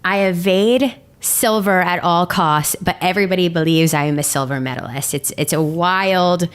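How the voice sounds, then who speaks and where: A woman's voice: fairly low pitch, moderate pace, smooth. A woman in her thirties co-hosting a podcast, chatting casually and cracking self-mocking jokes. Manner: joking, playful, self-mocking. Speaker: podcast co-host.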